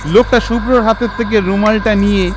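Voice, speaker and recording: A man narrating a scripted horror story in an expressive, suspenseful, dramatic way. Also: high-pitched, a little fast, music in the background.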